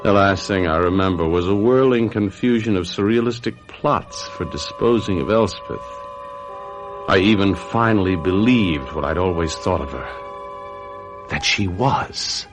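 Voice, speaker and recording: An actor playing a bitter, scheming husband who is a trapped murderer, with an American accent and a brooding, melodramatic old-time radio delivery. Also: male voice, low voice, measured pace, over music. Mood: weary, bitter. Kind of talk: old-time radio mystery narration and acting.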